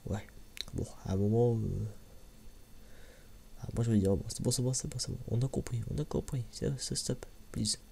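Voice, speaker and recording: A man gives a casual, rambling ASMR-style commentary in a soft, hushed, hesitant voice, often repeating words. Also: mid-range voice, normal speed. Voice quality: soft, hushed voice, sometimes near a whisper. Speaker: host of an anime review video. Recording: clearly audible background noise.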